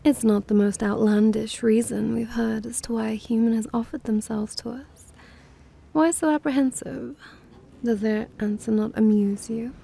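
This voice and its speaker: Woman playing a mellow, creaky, prim vampire mistress. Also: on the high side, fairly even intonation, moderate pace, full character voice. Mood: haughty, curious. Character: naive, prim, haughty female vampire mistress. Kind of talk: ASMR roleplay acting.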